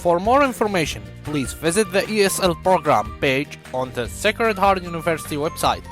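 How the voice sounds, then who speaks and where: Lively higher-pitched male voice-over. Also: normal speed, soft background music. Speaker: news narrator. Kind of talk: scripted news voice-over.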